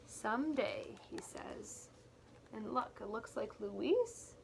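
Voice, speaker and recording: A woman teacher reading a picture book aloud to young children, expressively and deliberately, pausing to explain things in simple words. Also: on the high side, very animated, gravelly, a little hiss or hum, low-quality recording. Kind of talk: read-aloud storytelling with explanatory asides.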